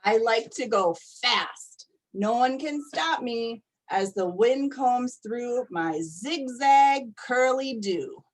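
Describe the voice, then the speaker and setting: A woman reading picture books aloud to young children, expressive and deliberate, often putting questions to her listeners. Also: on the high side. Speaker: storytime reader for young children. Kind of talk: animated picture-book read-aloud to children.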